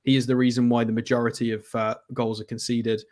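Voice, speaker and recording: A man's voice: mid-range voice, little pitch movement, fast, darkish tone. A man co-hosting a casual live football chat show, blunt and opinionated, with frequent hesitant ums. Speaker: football pundit co-host.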